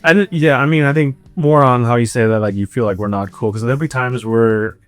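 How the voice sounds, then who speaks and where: A man's voice: medium pitch, brisk, clear, resonant tone, dry recording, soft background music. A man, an indie band musician, chatting casually and jokingly in an interview. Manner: joking, banter with bandmate.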